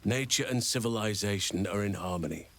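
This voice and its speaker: An actor playing a gentle, wise old druid man in a fantasy video game, speaking in formal, measured, earnest sentences. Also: fairly low pitch, creaky. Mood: earnest, proud. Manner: formal, measured, eloquent speech.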